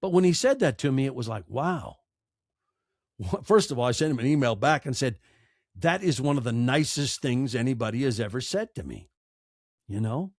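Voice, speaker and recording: A man in his sixties with an American accent, giving reflective, earnest interview answers in short, emphatic, deliberate phrases. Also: mid-range voice, expressive, no room sound, clean studio sound. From United States. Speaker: podcaster and storyteller, interview guest.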